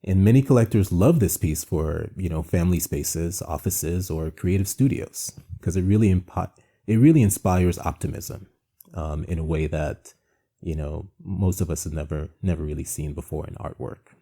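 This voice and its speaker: A man's voice: low-pitched, moderate pace, mellow, breathy edge. A man from Jamaica hosting an art presentation, talking in a conversational, reflective and earnest way with frequent asides. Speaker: art show host and founder.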